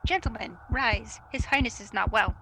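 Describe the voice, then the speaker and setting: A courteous noble guest from Shakespearean radio drama, acted by a young woman. The female voice is sharp and a bit high, over faint noise. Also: normal speed, poor audio. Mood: deferential. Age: young adult. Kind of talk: Shakespearean radio play acting.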